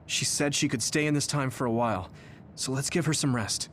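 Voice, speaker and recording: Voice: mid-range voice, brisk, a little hiss or hum, close to an everyday voice. An actor playing an earnest, caring teenage boy hero in an English anime game dub. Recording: music behind the voice.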